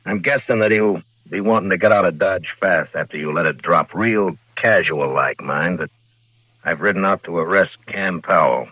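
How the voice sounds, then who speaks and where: A stern American frontier lawman from 1950s radio drama. His voice is deep, recorded with no room around it. He speaks in clipped, dry, commanding phrases, shrewd and a little weary. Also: moderate pace, bright, forceful tone, slightly rough, no room sound, heavily acted, poor audio. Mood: dry, patient. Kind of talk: 1950s radio western acting.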